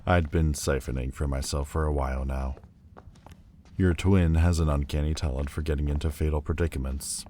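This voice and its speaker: A man playing a proud, wounded demon lord in an audio roleplay, guarded but vulnerable, with halting, stiffly formal, wry delivery. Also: rumbling low, fairly even intonation, dark tone, slight background noise. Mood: bitter, vulnerable. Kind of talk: intimate audio roleplay acting. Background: ambient sound effects.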